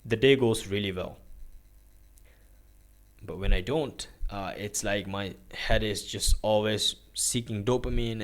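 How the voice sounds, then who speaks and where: A young man from Pakistan filming a casual day-in-the-life vlog in his bedroom, rambling through his routine with lots of fillers and restarts. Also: fairly low pitch. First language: Urdu is his country's language, but not his first language. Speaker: vlogger. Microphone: phone on a tripod.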